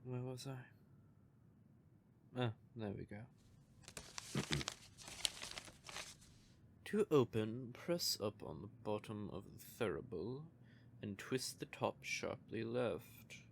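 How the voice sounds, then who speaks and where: A man's voice: on the low side, animated, slow, gravelly, pauses often, slight background noise. A man playing a smug, teasing male vampire who is playfully menacing, in an audio roleplay.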